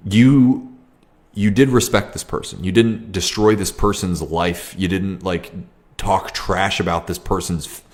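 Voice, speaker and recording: A young man hosting a casual livestream Q&A, talking reflectively and haltingly with many ums and restarts. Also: deep voice. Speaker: livestream host. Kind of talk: casual livestream Q&A, reading comments.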